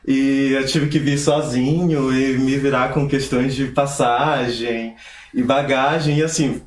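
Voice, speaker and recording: A young man from Pará, Brazil, speaking earnestly as an interview guest with measured hesitations. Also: mid-range voice, steady tone, echoey room, a little hiss or hum. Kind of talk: conversational interview.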